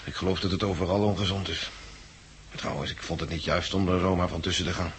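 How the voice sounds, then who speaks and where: A man's voice: low voice, fairly even intonation, fast. An actor playing a stoic, loyal, down-to-earth male survivor in a 1960s Dutch radio drama. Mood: sober, matter-of-fact. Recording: noisy 1969 radio drama recording.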